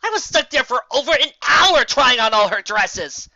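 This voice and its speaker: A man's voice: very high-pitched, very animated, moderate pace, pushed and bright, dry recording, highs cut off, pitched above the performer's own voice, heavily acted. A man voicing a cocky, carefree young female pegasus prankster in a story, casual and flippant. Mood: smug.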